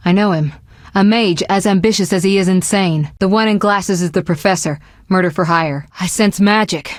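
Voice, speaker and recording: An actress playing a confident, proud young sorceress in a fantasy video game, speaking in a poised, articulate, assertive way. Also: female voice, mid-range voice, moderate pace, dry recording, studio quality, close to an everyday voice. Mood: serious, informative.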